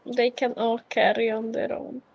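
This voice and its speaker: A woman's voice: on the high side, level tone, moderate pace, gravelly, darkish tone, slightly rough, low-quality recording. Teasing woman chatting over a game. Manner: teasing, dry comebacks. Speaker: co-op gamer. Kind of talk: casual chat while gaming.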